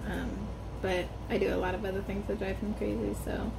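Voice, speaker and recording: A woman's voice: mid-range voice, little pitch movement, fairly quick, vocal fry. Rambling young woman with stressed R's. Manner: rambling, hesitant with many ums and restarts; strongly stressed R sounds. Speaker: vlogger. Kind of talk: casual personal vlog. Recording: background noise.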